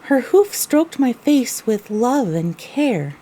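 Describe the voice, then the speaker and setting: Woman as husky, mellow young mare narrator. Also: a little high, sing-song, slow, exaggerated voice, low-quality recording. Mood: descriptive. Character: young pony mare narrating her own story, wistful. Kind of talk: first-person scripted narration, audio drama.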